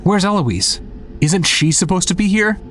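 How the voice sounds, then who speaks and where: An actor playing an impatient, hotheaded young soldier in an audio drama. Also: male voice, high voice, expressive, moderate pace, a bit bright, slight background noise.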